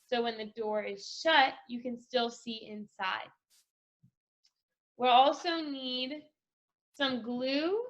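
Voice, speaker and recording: A woman presenting a casual step-by-step craft tutorial for children, speaking in an instructional way with pauses between phrases. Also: a little high, muffled recording. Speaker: craft presenter for a children's read-aloud project.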